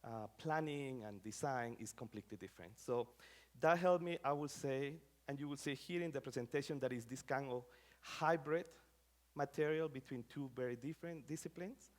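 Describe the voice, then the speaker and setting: A man's voice: mid-range voice, moderate pace. Man giving a casual lecture. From Costa Rica. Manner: conversational, many fillers, non-native phrasing. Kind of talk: academic public talk.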